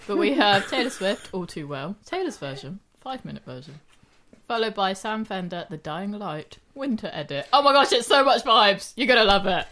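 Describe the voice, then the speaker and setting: A woman's voice: mid-range voice, big pitch swings, moderate pace, a bit bright. A woman co-hosting a radio show, chatty, giggly and self-mocking. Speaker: radio co-host. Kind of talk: casual studio banter. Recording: music playing in the background.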